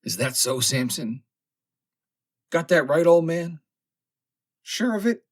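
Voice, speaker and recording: Rowdy, indignant sailors all acted by one male audiobook reader. They jeer and question in a raised, husky voice that swings widely in pitch. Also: lively intonation, slow. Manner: jeering, questioning. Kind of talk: audiobook character acting.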